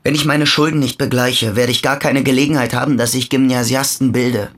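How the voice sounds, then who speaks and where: Radio drama: nonchalant young would-be teacher, self-ironic, average male pitch. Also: steady tone, brisk, fairly bright tone. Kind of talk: German radio play acting.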